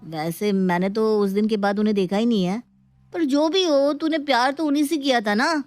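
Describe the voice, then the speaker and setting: Cheeky high-voiced young man, played by a woman. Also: moderate pace, darkish tone, no room sound, lightly acted. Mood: reassuring. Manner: teasing, chummy. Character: cheeky, casual young male friend.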